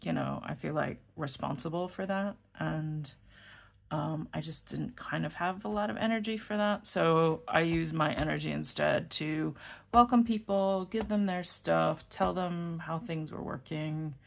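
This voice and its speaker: A woman's voice: low-pitched, unhurried, vocal fry. A woman from New York with a New York accent, chatting casually and rambling a little as she writes in a notebook. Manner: rambling, self-correcting, murmurs while writing. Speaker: fountain pen vlogger. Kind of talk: casual chat while writing.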